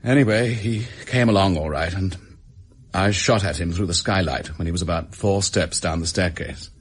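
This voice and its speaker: An actor with an English accent playing a desperate, bitter office worker making a halting confession in a radio drama. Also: male voice, deep voice, husky. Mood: bitter, defiant.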